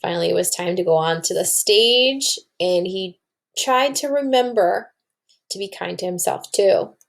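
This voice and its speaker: A woman's voice: fairly low pitch, a little slow, creaky. A woman reading a storybook aloud to children and explaining it like a teacher, with questions to the listeners.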